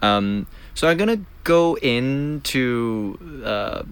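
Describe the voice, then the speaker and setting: A man's voice: mid-range voice, animated, slow pace, clean tone. A man hosting a casual interview, friendly and chatty, backing up his guest with frequent short replies and asking loose, rambling questions. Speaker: interviewer, podcast host.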